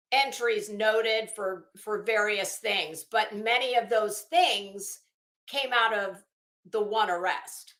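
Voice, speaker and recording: A woman's voice: medium pitch, fairly bright tone, roomy sound. A woman giving casual, rambling commentary on a document as a channel host, with a blunt, sarcastic, sweary manner. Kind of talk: casual spoken commentary while reading a legal document.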